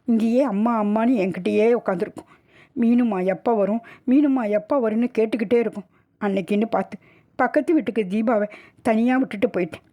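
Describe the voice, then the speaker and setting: A woman voicing a grief-stricken old caretaker, sobbing and halting in rustic, colloquial speech in a dramatised audio story. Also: fairly high pitch, mellow, voice pushed up, natural voice. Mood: sobbing, guilt-ridden.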